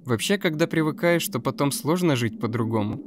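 A seasoned stalker guide, played by a man, wary and world-weary, in a cartoon dub. He has a mid-pitched voice over clearly noisy outdoor ambience with birds. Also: lively intonation, fairly quick, close to an everyday voice. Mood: reflective. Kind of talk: dubbed animated web series dialogue.

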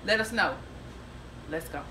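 A woman's voice: lowish voice, measured pace, pushed and bright, a little hiss or hum. A woman in her thirties, chatty and full of ums, filming a home renovation vlog on her phone in a large kitchen. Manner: chatty, thinking aloud with many ums. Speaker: vlogger. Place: large kitchen of an old house. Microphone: phone at arm's length, later propped far off. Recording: echoey room.

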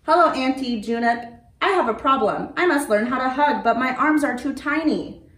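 A woman's voice: high-pitched, animated, moderate pace, fairly bright tone, pitched above the performer's own voice. A woman voicing a tiny, earnest young T-Rex who speaks formally and politely, without contractions. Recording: roomy, from a distance.

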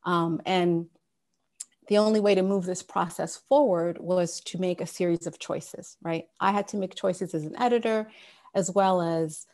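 Woman in her thirties from Sudan, low and gravelly, giving a casual talk over a video call. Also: steady tone, measured pace, darkish tone. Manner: conversational, many fillers and restarts. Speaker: guest speaker. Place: home office. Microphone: wired earphones on a video call.

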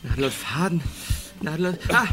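A man's voice: on the high side, lively intonation, normal speed, noisy background, lightly acted. An actor playing a jittery, squeamish but eager man, stammering and babbling in an audio crime drama. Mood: flustered, eager.

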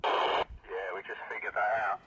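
A man's voice: lowish voice, level tone, normal speed, sharp tone, breathy edge. A man with an English accent chatting casually over a crackly two-way radio. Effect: two-way radio speaker. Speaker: CB radio operator. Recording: crackly, very noisy CB radio reception.

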